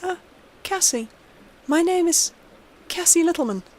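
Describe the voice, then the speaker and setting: A woman voicing a timid, earnest little girl in a horror story, with a halting, emphatic delivery. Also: very high-pitched, animated, pitched above the performer's own voice, rough recording. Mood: nervous.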